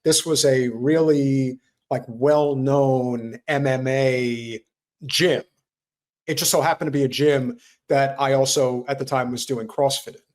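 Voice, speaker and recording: A man of middle pitch talks in a relaxed podcast conversation. He is earnest and a little halting, circling back and restarting phrases as he works his thoughts out. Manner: earnest, halting, repeats himself. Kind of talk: casual political chat.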